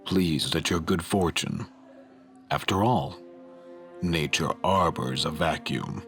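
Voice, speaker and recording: Powerful male tycoon villain, played by an actor, formal and pompous, very unhurried, music underneath. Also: a bass voice, fairly bright tone, full character voice. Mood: smug menace. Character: powerful, menacing male crime-boss newspaper owner. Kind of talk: comic dub acting.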